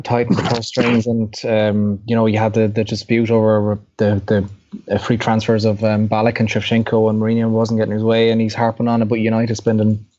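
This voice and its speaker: A man's voice: lowish voice, a little mellow. A man chatting casually on a podcast panel, rambling with many restarts. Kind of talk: casual chat. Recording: dull lo-fi podcast audio.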